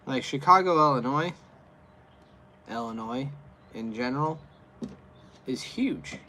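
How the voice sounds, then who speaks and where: A man's voice: medium pitch, expressive, slow pace, bright, forceful tone, slight background noise. An American man hosting a livestream, answering viewer comments in a rambling, casual way full of fillers and restarts. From USA. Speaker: livestream host.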